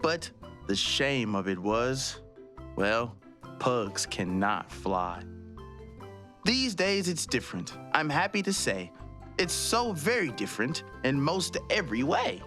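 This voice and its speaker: A man hosting a children's read-aloud, addressing young viewers directly with drawn-out emphasis. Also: mid-range voice, sing-song, a bit bright, soft background music. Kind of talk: presenting and reading a children's picture book.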